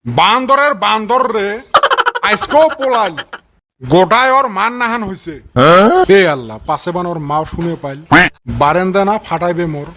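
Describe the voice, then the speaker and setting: A man voicing a grumpy, quick-tempered village father in a comic cartoon, scolding in a North Bengal accent. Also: high-pitched, expressive, pushed and bright, full character voice. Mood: exasperated. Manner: scolding, exasperated. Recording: very noisy, clicky cartoon audio track.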